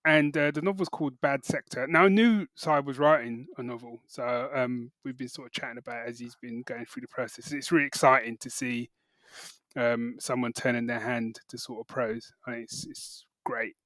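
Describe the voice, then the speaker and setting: A man's voice: mid-range voice, steady tone, moderate pace, fairly bright tone, dry recording. A man co-hosting a podcast in casual, bantering conversation. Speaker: podcast co-host.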